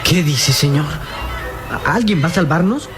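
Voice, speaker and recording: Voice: medium pitch, animated, measured pace, over music, natural voice. Performer as plaintive young boy sidekick. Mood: bewildered. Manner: plaintive, respectful, theatrical delivery. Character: young boy sidekick, earnest, loyal, anxious. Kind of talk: radio drama acting.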